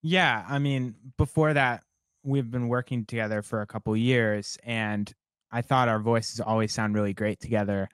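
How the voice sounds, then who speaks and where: A young man, a songwriter guest, talking in a relaxed and reflective way, rambling with many fillers. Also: medium pitch, normal speed, clean tone, no echo, studio quality. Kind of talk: casual interview conversation.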